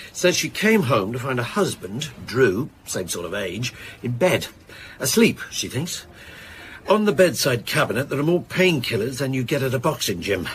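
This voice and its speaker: A man's voice: mid-range voice, a little hiss or hum, natural voice. A man playing a junior detective in a radio drama, irreverent and eager to please, talking in a slangy, hesitant way. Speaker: actor in an audio drama. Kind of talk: naturalistic radio drama dialogue.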